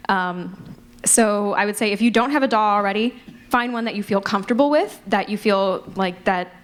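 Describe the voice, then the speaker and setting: Casual woman, mid-pitched voice. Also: moderate pace. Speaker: audio drama producer and panelist. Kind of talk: casual practical advice on a panel. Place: live convention panel. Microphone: wired panel microphone.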